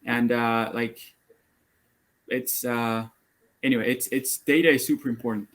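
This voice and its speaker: A man's voice: medium pitch, steady tone, unhurried. A young man from Argentina giving a casual group presentation on a video call, talking in an upbeat way with many fillers. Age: young adult. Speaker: student presenter.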